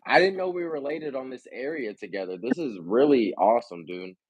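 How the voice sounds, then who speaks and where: A man's voice: mid-range voice, lively intonation, a little fast, slightly rough, no echo. A man hosting a podcast in casual chat, who also reads passages from a book aloud and calls his guest bro and dude. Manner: enthusiastic, says bro and dude often. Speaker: podcast host.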